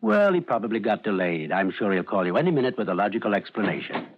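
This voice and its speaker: A polished soothing doctor, lively pitch, dull old radio sound. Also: male voice, fast. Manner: polished, soothing, growing uneasy. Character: smooth middle-aged male psychoanalyst. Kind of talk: 1940s radio acting.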